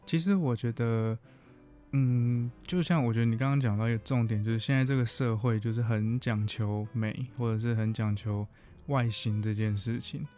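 A man's voice: mid-range voice, steady tone, moderate pace, warm tone, faint music behind. A man with a Taiwanese accent talking reflectively as a guest counselor in a casual interview, often rephrasing his points.

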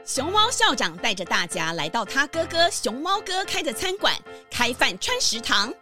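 A woman's voice: high voice, big pitch swings, moderate pace. A woman hosting and narrating a children's podcast in scripted storytelling style, addressing young listeners. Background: music.

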